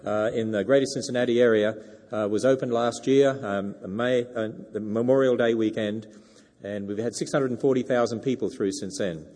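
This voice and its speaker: An Australian man delivers a sermon to a church crowd through a handheld mic. He talks in a folksy, joking way at an ordinary pitch. The sound carries a long hall reverb. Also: darkish tone. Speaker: guest speaker. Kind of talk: sermon to a congregation. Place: church sanctuary. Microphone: wireless handheld microphone.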